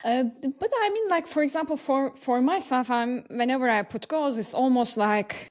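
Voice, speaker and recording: A woman's voice: sits high, moderate pace. A woman from Turkey with a Turkish accent, hosting a podcast in a conversational interview style. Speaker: podcast host.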